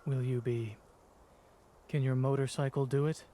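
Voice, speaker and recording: A man's voice: mid-range voice, steady tone, moderate pace, dark tone, a little hiss or hum, natural voice. An actor playing a cool, reserved male doctor with dry wit, terse and composed, in a romance game. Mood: dry, teasing. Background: background music.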